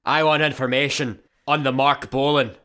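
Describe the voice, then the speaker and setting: An actor playing a blustering, menacing space pirate in a comedy radio play, pompous and threatening. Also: male voice, medium pitch, steady tone, normal speed, sharp tone, lightly acted. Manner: threatening, pompous, garbles words. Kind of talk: sci-fi comedy radio acting.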